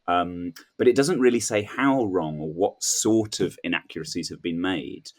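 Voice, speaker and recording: A man's voice: deep voice, moderate pace. A man speaking as a comedy panel guest, casual and questioning, hesitantly working through his thoughts and acting out imagined remarks. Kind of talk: casual comic chat.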